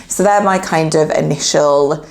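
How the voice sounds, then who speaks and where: A woman's voice: sits low, measured pace, darkish tone. A young adult woman, a therapist, chatting casually to camera, a little nasal from a cold, with fillers like "yeah" and "like.". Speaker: therapist YouTuber.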